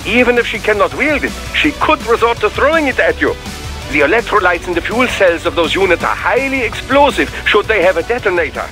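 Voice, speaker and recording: An actor playing an eccentric, fussy scientist in a video game, with a precise, formal, fascinated way of explaining. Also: male voice, high-pitched, very animated, brisk, music in the background. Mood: analytical, cautioning. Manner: precise, formal, admiring lecture. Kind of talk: video game radio dialogue acting.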